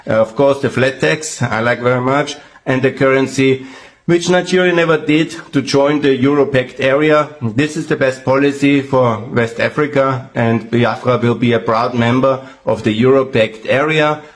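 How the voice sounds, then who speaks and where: A man making an earnest, pleading political appeal, halting with fillers. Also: mid-range voice. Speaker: political activist.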